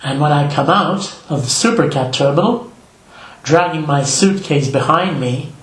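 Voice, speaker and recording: An older man from Belgium, narrating his travel vlog in a slow, measured, reflective voice-over. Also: on the high side, lively intonation, reverberant, rough recording. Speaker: vlogger.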